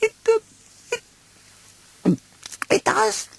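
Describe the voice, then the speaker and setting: A man's voice: extremely high pitch, wildly swooping pitch, drawn-out words, gravelly, pitched above the performer's own voice, full character voice. A man voicing a timid little piglet in a storybook, squealing and hesitant, with a nervous stammer.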